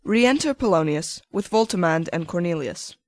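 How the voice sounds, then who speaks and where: A woman's voice: fairly low pitch, expressive, moderate pace, no room sound. Woman narrator with a dry sound. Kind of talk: reading stage directions.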